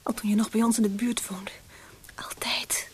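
A woman's voice: fairly high pitch, level tone, normal speed, a little hiss or hum, lightly acted. An actress playing a shy, devoted young woman in love in a radio drama, hesitant and often close to a whisper. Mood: loving, yielding. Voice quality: breathy, often near whisper. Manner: hesitant, timid.

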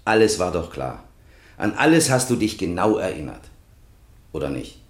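An earnest lay defender in a radio courtroom play. His low voice rises and falls widely as he pleads and reproaches. The room is echoey. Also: sing-song, moderate pace. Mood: indignant. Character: earnest, exasperated male workmate acting as lay defender. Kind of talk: German radio crime drama acting. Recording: roomy, echoing courtroom sound.

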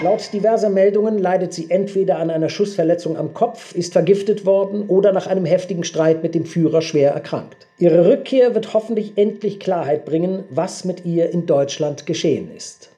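An actor playing a 1930s newsreader delivering a scandal bulletin in a German radio play. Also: male voice, high-pitched, normal speed, few pauses, dark tone, faint music behind, lightly acted. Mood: brisk reporting. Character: 1930s newsreader reporting breathlessly on a society scandal.

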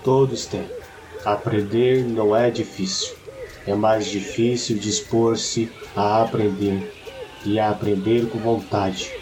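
Man acting a solemn, low-voiced Brazilian village shaman. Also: fairly even intonation, crowd noise, rough recording. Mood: wise, patient. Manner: measured, grave, instructive. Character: solemn village shaman, wise patient mentor to a boy. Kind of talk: scripted audio story acting.

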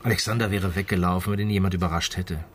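Male actor as calm detective, lowish voice, deliberate, radio crime drama, faint background noise. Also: lightly acted. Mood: thoughtful, measured. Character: calm, methodical male police inspector questioning a witness. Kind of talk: naturalistic German radio drama dialogue.

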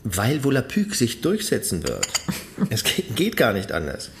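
An actor playing an enthusiastic campaigner making a persuasive pitch for a world language. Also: male voice, huge pitch swings, unhurried. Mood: eager. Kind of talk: radio play acting.

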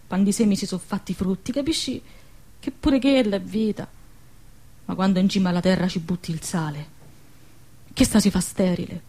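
A woman's voice: medium pitch, close to an everyday voice. An actress playing a defiant, pregnant young village woman in a radio drama, anguished and impassioned, with a southern Lazio dialect. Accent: southern Lazio Italian dialect.

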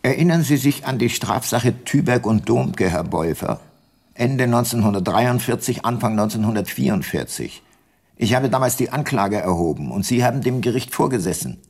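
An actor playing a weary, guilt-laden ageing private detective and former prosecutor in a radio play, sober and reflective. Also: male voice, mid-range voice, animated, normal speed, a little mellow, no echo. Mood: probing. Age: older. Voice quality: husky. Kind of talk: first-person radio play narration and dialogue.

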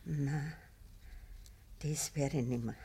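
An actress playing an ailing old peasant mother in a radio play, with a breathy, frail voice, a Bavarian accent and a halting, pleading delivery. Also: sits low, steady tone, dark tone, exaggerated voice. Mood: weak, worried. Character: gravely ill old peasant mother, pleading, gentle, pious. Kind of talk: naturalistic dialect radio drama acting.

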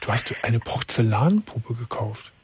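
Calm male radio talk show host. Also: medium pitch, measured pace, noisy background. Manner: calm, patient, asks follow-up questions. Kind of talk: late-night call-in advice talk. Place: radio studio. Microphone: studio mic.

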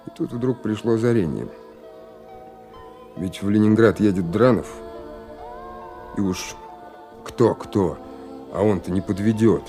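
A male actor plays a young naval lieutenant in a radio drama. He is earnest and eager, with a moderately low male voice. He speaks as someone sincerely telling his own story. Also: a little slow, long pauses, warm tone, soft background music, natural voice. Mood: reflective, hesitant. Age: young adult. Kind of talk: radio play acting with reflective first-person narration.

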